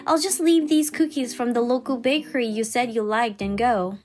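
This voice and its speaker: A woman's voice: fairly high pitch, fairly even intonation, normal speed, a little mellow, lightly acted. A woman playing a kindly, chatty older mother-in-law, polite and effusive, in a manga dub drama. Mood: apologetic. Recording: music behind the voice.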